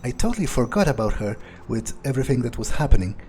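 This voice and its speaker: A man role-playing a sardonic male vampire who thinks aloud in a halting, musing way during a game playthrough. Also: medium pitch, very animated, darkish tone, a little hiss or hum, natural voice. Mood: puzzled. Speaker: gamer role-playing a character. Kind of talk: in-character improvised game playthrough monologue.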